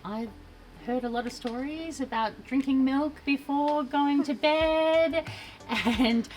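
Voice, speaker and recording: Woman, 30s, chatty, giggly, higher pitch, faint music behind. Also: slow, a little mellow, rough recording. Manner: chatty, giggles between phrases. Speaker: interviewee. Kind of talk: casual interview answers.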